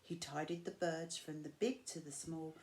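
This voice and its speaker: A woman reading a rhyming picture book aloud to children, with measured, expressive phrasing and drawn-out key words. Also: sits low. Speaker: storyteller reading to school pupils. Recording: clear background noise.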